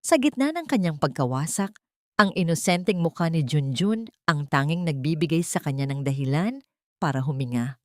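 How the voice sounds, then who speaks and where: A woman's voice: sits low, expressive, moderate pace, no room sound, clean studio sound. A woman storyteller reading scripted, dramatic narration of a romance drama.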